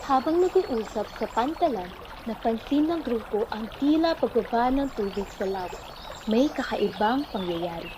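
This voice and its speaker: A woman's voice: on the high side, lively intonation, moderate pace, noisy background. A woman with a Filipino accent narrating a scripted radio drama.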